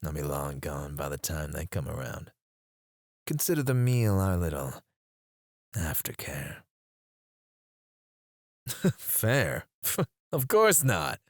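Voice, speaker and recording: A menacing captor, as a man acts him out, taunts his prisoner in a deep, unhurried murmur. He drops into soft whispers and low chuckles on a close mic. The sound is dry and intimate. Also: no room sound, heavily acted. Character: teasing, menacing male captor interrogating a prisoner. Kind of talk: ASMR roleplay.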